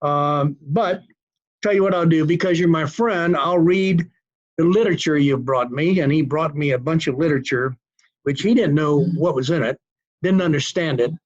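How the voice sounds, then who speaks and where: A man in his seventies telling stories as an interview guest in a folksy, unhurried, wry manner. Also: a little high, dull audio. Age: 70s. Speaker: cardiologist guest. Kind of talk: conversational storytelling interview.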